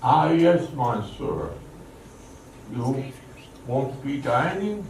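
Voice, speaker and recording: Man playing a slow, courtly waiter in an echoey hall. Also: mid-range voice, big pitch swings, darkish tone, a little hiss or hum, heavily acted. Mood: gracious. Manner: formal, courtly. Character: courteous French restaurant waiter, gracious and attentive. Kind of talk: stage radio drama acting. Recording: echoey hall from far off, music.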